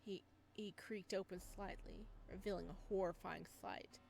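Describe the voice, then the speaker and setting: A woman playing a horror visual novel, reading its narration aloud and breaking off into excited, laughing reactions. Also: mid-range voice, moderate pace, creaky, slight background noise. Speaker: game streamer.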